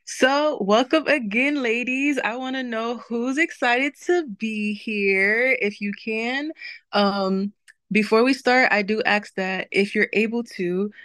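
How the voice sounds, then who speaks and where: A woman's voice: on the high side, a bit bright, dry recording. Upbeat young woman hosting a webinar. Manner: upbeat, laughs often, talks to the chat. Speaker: webinar host. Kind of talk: prayer and warm webinar welcome. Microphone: video call.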